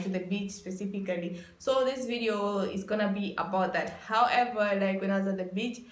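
Woman, twenties, casual vlog narration, chatty, repetitive emphasis, medium pitch, echoey small room. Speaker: vlogger. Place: small indoor room with bare walls. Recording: roomy echo.

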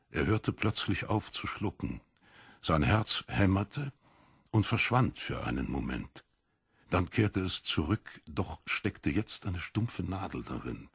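Ein Mann liest als Hörbucherzähler einen literarischen Text, mit rauer Stimme und bedachter, dramatischer Phrasierung. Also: male voice, sits low, creaky, breathy edge, no echo, clean studio sound. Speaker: audiobook narrator. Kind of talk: scripted literary narration.

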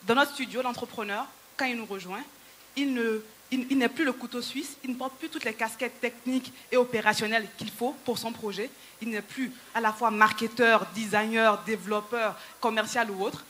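A woman in her thirties, whose first language is Yoruba, speaks on a handheld microphone from a conference stage. Her voice is bright and sits a little high. Faint hall ambience sits behind her as she rallies the audience. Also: steady tone, normal speed, slight background noise. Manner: persuasive, rallying, addresses the audience directly. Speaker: entrepreneur and speaker.

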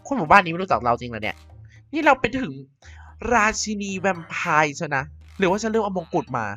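A woman's voice: lowish voice, very animated, fast talker, a bit bright. A woman voicing a vain, mischievous female vampire queen in a Minecraft cartoon, talking in a theatrical, self-important way over faint music. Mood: indignant. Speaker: narrating YouTuber doing all voices. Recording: slightly dull with faint music.

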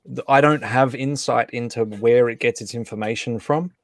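Guy hosting a stream, kinda rambly chatty, mid pitch, close dry sound. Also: moderate pace, no echo. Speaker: host and co-creator. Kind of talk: casual chat on a livestream. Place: indoor home setup on a group video call.

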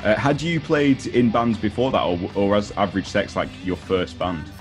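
A young man hosting a casual interview over a video call, asking relaxed conversational questions. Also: fairly low pitch, normal speed, faint music behind. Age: young adult. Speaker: interviewer, host. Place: indoor home room, video call.

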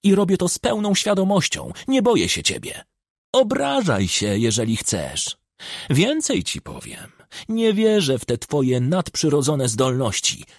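A hot-headed village official played by a male narrator in an audiobook, scornful and defiant. The voice is pushed high and swings widely in pitch, with a husky grain. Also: wildly swooping pitch, measured pace, no room sound, studio quality, full character voice. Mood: angry scorn. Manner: indignant, insulting, defiant. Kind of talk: audiobook character acting.

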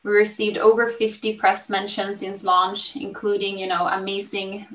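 A woman in her thirties from Sweden with a Swedish accent, pitching her startup on a video call in an upbeat, fluent way with frequent ums. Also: level tone, moderate pace, fairly bright tone. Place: home room, indoors. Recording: roomy and slightly distant.

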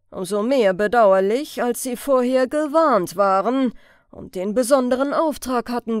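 A woman's voice: fairly high pitch, darkish tone, dry recording, studio quality. A woman voicing a pompous, indignant male police major in an audiobook, haughty and scolding, with emphatic delivery. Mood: condescending, reproachful. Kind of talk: audiobook character voice.

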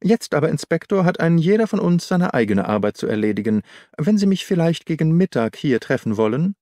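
A man's voice: a little high, big pitch swings, mellow, no echo, studio quality, voice pushed up. Man playing self-assured, secretive detective. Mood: guarded, amused. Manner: measured, reasoning, withholding. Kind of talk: audiobook character dialogue.

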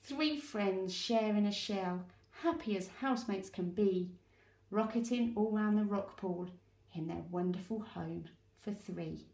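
A British woman, an early years teacher, reading a children's storybook aloud in an expressive, deliberate way. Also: mid-range voice, reverberant, poor audio. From United Kingdom.

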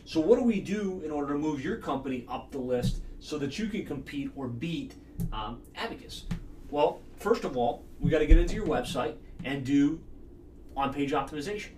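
A man's voice: fairly high pitch, lively intonation, darkish tone, distant mic, slight background noise. Confident man explaining in an echoey office. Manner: brisk and confident, explaining step by step. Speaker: marketing consultant. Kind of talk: screen-share tutorial. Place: small office, indoors. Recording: echoey room, voice a bit off-mic.

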